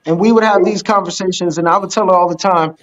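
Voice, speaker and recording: Earnest high-voiced man, native Spanish speaker. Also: fairly even intonation, quick pace, muffled recording. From Dominican Republic. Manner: earnest, rambling, agreeable. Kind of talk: casual live-stream chat.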